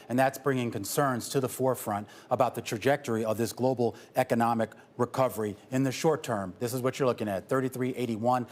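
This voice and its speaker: A man's voice: mid-range voice, darkish tone. A man co-anchoring a live markets show, talking through charts in a loose, conversational way with fillers. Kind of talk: market news analysis.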